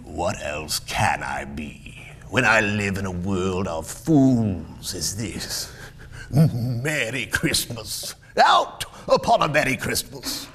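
A man's voice: lowish voice, lively intonation, slow pace, fairly bright tone, full character voice. A man voicing a bitter, cold-hearted old miser in a storybook reading, grating and curtly scornful. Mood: ranting. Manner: curt, scornful grumbling. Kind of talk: storybook character voice in dramatic reading.

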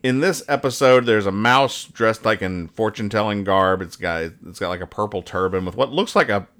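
A man with a lowish voice hosts a casual show. He rambles along conversationally, describing things in a joking, mock-serious way. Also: a little fast, a bit bright. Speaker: podcast host. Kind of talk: casual banter, reading and describing comic strips.

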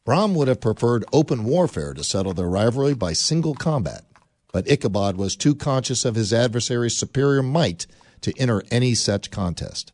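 A man narrating a radio drama in a measured storytelling manner with dry wit. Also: mid-range voice, very animated, darkish tone, no room sound.

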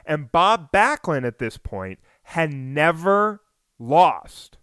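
Male podcast host with a high, clear voice, energetic storyteller who makes wry jokes at his own expense. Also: slow pace, dry recording, clean studio sound. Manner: energetic, rising to near shouts when excited; self-deprecating asides.

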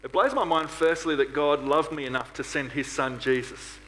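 A man's voice: a little high, normal speed, a bit bright, a little hiss or hum, poor audio. A man preaching a sermon to a congregation in an earnest manner, often putting rhetorical questions to his listeners. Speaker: preacher at a church.

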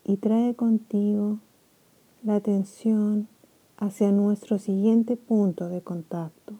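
A woman guiding a sleep meditation in a soft, soothing way, with long pauses between phrases. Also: mid-range voice, steady tone, husky, poor audio. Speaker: meditation guide.

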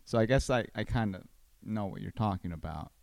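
A man from Costa Rica hosting a casual podcast chat, easygoing and quick to laugh. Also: lowish voice, animated, creaky, darkish tone, dry recording. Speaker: podcast host.

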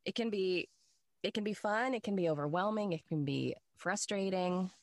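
Woman, voice actor, casual chat, animated, acts out quotes, dry close sound. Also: medium pitch, moderate pace, dry recording. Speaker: working voice actor.

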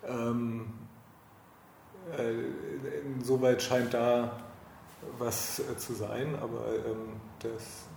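A man's voice: medium pitch, little pitch movement, vocal fry, darkish tone. Guy doing a casual interview, keeps rephrasing, echoey room with noise behind him. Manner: halting, rephrases and repeats himself. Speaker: podcast interviewer. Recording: echoey, noisy room with ambient sound.

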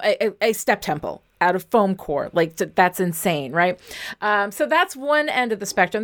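A woman giving casual, instructional advice as a writing coach, speaking in a candid, emphatic, conversational way. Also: fairly low pitch. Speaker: writing coach and novelist, interview guest.

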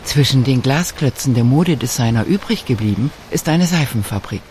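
A man narrates a radio documentary in a calm, measured, scripted manner. His voice sits at an ordinary middle pitch. A faint hiss of background noise lies under the reading.

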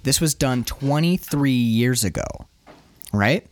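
A man's voice: medium pitch, animated, slow. A man co-hosting a comic book discussion in an emphatic, opinionated and sometimes profane casual style.

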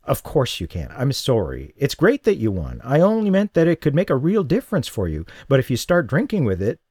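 A man's voice: medium pitch, huge pitch swings, brisk, darkish tone, slightly rough, no room sound, studio quality, voice pushed up. A man voicing a worried, guilt-ridden man who talks earnestly, in an audiobook. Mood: tense, pleading. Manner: earnest, pleading.

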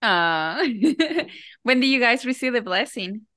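A woman's voice: on the high side, lively intonation, takes it slowly, no echo, studio quality. A woman hosting a podcast, welcoming guests and asking questions in an upbeat way. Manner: upbeat and warm, with some fillers. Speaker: podcast host.

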